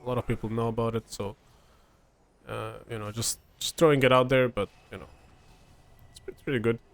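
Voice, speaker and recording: Young Serbian man, native Serbian speaker, easygoing game commentator, mid-pitched voice, loose and chatty delivery. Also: fairly quick. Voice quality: relaxed, chatty, many fillers and restarts. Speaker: gameplay commentator. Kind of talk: casual gameplay commentary.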